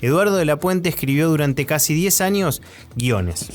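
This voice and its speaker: A man's voice: on the high side, animated, normal speed. An Argentine man presenting a radio documentary in scripted narration. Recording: music under the voice.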